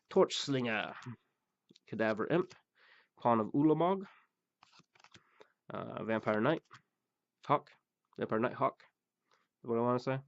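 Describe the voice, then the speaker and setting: Hoarse man rambling through card openings. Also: mid-range voice, no echo. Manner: rambling, many "uh" fillers, blunt and crude. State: losing his voice. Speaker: pack-opening show host. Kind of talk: casual card-opening commentary. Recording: dull, dry recording.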